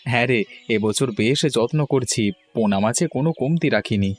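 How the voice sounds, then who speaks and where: A man's voice: medium pitch, moderate pace, soft background music, natural voice. A man voicing a cautious cartoon village fish farmer who speaks colloquially and a little hesitantly. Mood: agreeable. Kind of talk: cartoon dialogue.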